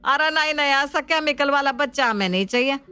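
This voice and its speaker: A woman's voice: high voice, fairly quick, pushed and bright, no room sound. A woman playing an older, superstitious village mother-in-law in a family drama, with a dismissive, traditional manner. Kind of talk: Hindi family drama acting.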